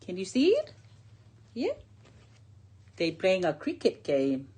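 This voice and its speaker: Slow-paced woman reading to a child. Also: mid-range voice, wildly swooping pitch, mellow, husky. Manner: simple short phrases, draws out key words, points things out with questions. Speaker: storyteller reading to a young child. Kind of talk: picture-book read-aloud for a young child.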